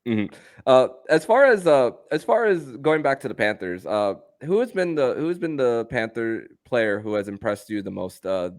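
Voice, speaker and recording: A man's voice: mid-range voice, expressive, normal speed, dry recording, studio quality. A man speaking as the host of a sports podcast. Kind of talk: podcast interview.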